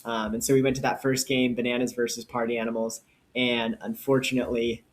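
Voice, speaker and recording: A man's voice: mid-range voice, flat delivery, a little fast. A man co-hosting a podcast, telling stories casually and with enthusiasm. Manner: enthusiastic storyteller. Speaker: podcast co-host.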